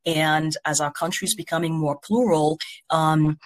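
A university president from Haiti giving thoughtful interview answers in formal academic phrasing, with a measured, earnest manner. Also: mid-range voice, steady tone.

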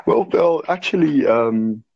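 A South African man in his late twenties talking casually in a measured way, with frequent "uh" and "um". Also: lively intonation, gravelly. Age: 20s. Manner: measured, chatty, slips in "uh" and "um". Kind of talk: casual chat. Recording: muffled low-fidelity audio.

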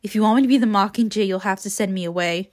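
A woman's voice: mid-range voice, fast, darkish tone, lightly acted. Woman reading a numb, resolute young heroine. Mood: numb resolve. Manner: numb, determined.

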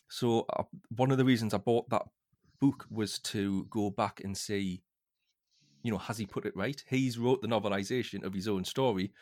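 Low-pitched man in casual fan chat.

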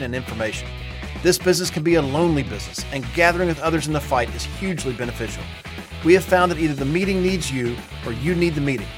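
Male host, upbeat announcer read, higher voice, guitar bed underneath. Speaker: company president and call host. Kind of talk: scripted intro and sales stats readout. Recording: clear guitar music under voice. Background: guitar music.

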